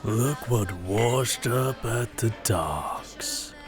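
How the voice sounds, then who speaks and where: An actor playing a gaunt, menacing pirate who taunts in a lewd, leering way in an audio drama. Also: male voice, medium pitch, lively intonation, faint music behind. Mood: leering, mocking. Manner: taunting, lewd, slow drawl.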